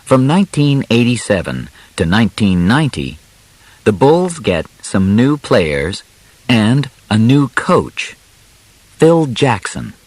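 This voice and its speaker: Male audiobook narrator, unhurried and deliberate, phrase by phrase, very dry close sound. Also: mid-range voice, very animated, darkish tone, dry recording, poor audio. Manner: deliberate, clearly separated short phrases. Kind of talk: scripted narration, graded-reader storytelling.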